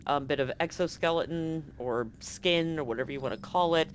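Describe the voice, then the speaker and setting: A woman's voice: low voice, a little fast. A woman game master improvising narration for a tabletop game, casually swearing, on a live stream with faint noise. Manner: casually profane.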